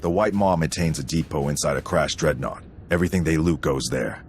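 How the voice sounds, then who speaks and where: Very deep, gruff army sergeant. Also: male voice, a little fast, slight background noise. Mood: brisk, matter-of-fact. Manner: clipped, military, commanding. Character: stern, gruff, no-nonsense male army sergeant. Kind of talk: dramatic video game acting.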